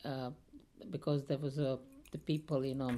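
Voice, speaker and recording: A man, recalling his life story as an oral history interviewee, speaking haltingly with many pauses and fillers. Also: medium pitch, flat delivery, mellow. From India. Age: 50s.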